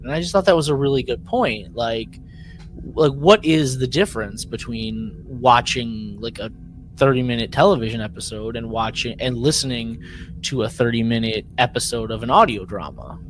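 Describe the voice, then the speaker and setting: Rambling male podcast co-host, mid-pitched voice. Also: fairly bright tone, a little hiss or hum, rough recording. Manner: rambling, halting, repeats words. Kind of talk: casual podcast chat.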